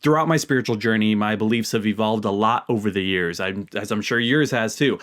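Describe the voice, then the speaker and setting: A man's voice: lowish voice, fairly quick, a bit bright. Earnest American man talking to viewers. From United States. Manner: earnest, emphatic. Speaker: video host, religious commentator. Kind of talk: earnest talk to camera.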